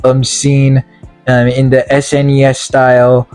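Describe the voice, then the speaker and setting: A man's voice: medium pitch, completely flat delivery, measured pace, darkish tone, clear, resonant tone, soft background music. A young man casually reviewing a video game movie, rambling and blunt with lots of fillers, his voice sounding tired and worn out.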